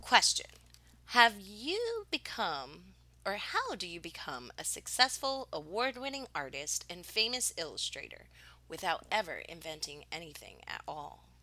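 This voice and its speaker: A woman reading a picture book aloud like a teacher, expressively, with dramatic pauses and emphasis on key words. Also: lowish voice, huge pitch swings, sharp tone, no room sound.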